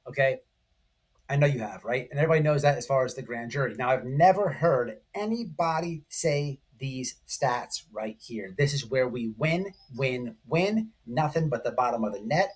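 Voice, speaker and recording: A man giving casual, excitable online commentary, speaking emphatically to his viewers and repeating his points. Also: mid-range voice, moderate pace, husky. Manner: excitable, emphatic, repetitive, addressing viewers directly. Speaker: online commentary channel host. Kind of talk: casual, informal video commentary.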